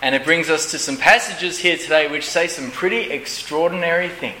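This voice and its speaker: A hoarse man preaching a Bible study in short phrases, pausing often so an interpreter can follow. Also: fairly high pitch, bright, forceful tone, a little hiss or hum. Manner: pauses often for translation, earnest. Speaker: pastor. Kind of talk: sermon with an interpreter. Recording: echoey room.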